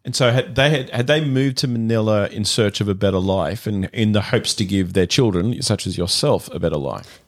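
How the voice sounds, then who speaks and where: A man's voice: fairly low pitch, normal speed. Hesitant man hosting a church podcast. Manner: hesitant, restarts questions. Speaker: podcast host.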